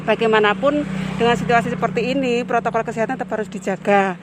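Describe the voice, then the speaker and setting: A woman's voice: a little high, fairly even intonation, quick pace, noisy background. A woman health worker answering interview questions outdoors, explaining health advice in an instructive way and listing steps one by one. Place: outdoors at a village hall.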